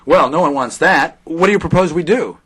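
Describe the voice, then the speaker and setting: A man's voice: fairly high pitch, fairly quick, fairly bright tone, husky, no echo. An actor playing a skeptical, probing, dryly sarcastic male reporter in an audio drama.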